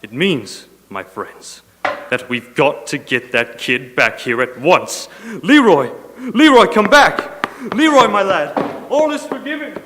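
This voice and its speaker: A man's voice: sits high, sing-song, slow, heavily acted. A man playing an exasperated, theatrical, blustering radio show producer in a stage comedy. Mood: elated. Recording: echoey hall, noisy live recording.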